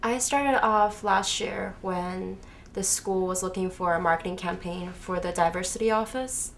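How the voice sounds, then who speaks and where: A woman's voice: medium pitch, normal speed. A young adult woman from Taiwan answering questions as an interview guest, explaining her work. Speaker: interview guest, student fashion designer. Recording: noisy room, clear background noise.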